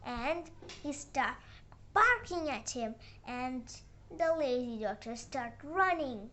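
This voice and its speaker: A child narrating a moral fairy tale with halting, uneven phrasing and dramatic emphasis on the dialogue. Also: sits high, expressive, sharp tone, a little hiss or hum. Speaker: storyteller.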